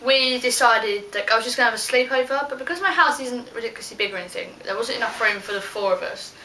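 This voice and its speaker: A young British woman chats informally and animatedly, telling stories to a friend. Her voice is bright and fairly high. The sound is echoey, as if she sits back from the microphone in a room. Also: fast. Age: teenager to young adult. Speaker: vlog host telling stories. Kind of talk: casual chat storytelling with a friend. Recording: roomy echo, distant mic, faint noise.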